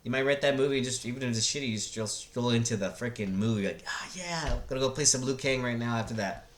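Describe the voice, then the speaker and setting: A man in his thirties hosting a casual, rambling review in a halting, searching way, with laid-back slangy enthusiasm. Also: mid-range voice, slight background noise. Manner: hesitant, halting, searching for words. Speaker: video host reviewing films. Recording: roomy, echoey sound.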